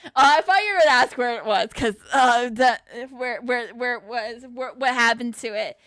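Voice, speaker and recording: A woman's voice: fairly high pitch, normal speed, fairly bright tone, no room sound, clean studio sound. A woman in her late twenties, chatting casually with her live-stream chat in a giggly, self-teasing way. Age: late 20s. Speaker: livestreamer.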